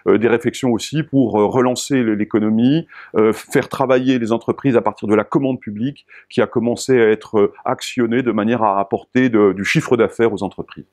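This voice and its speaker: French male spokesperson, mid-range voice, informational delivery with halting, searching phrasing. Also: medium pitch, fairly even intonation, darkish tone. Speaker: expert spokesperson for local government association. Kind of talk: explanatory talk in an informational video.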